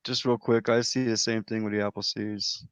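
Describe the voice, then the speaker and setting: A man's voice: on the low side, level tone, normal speed, clear, resonant tone, dry recording, dull audio. A man chatting on an online panel, rambling and restarting his sentences. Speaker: panel guest. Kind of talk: casual online panel chat.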